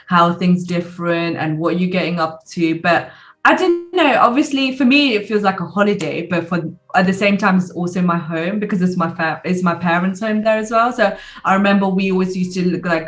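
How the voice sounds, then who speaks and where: A woman's voice: lowish voice, normal speed, recorded from a distance. A woman in her twenties with a British accent, a guest chatting casually in an interview, hesitant with lots of fillers and frequent laughter. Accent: British English. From United Kingdom. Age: 20s.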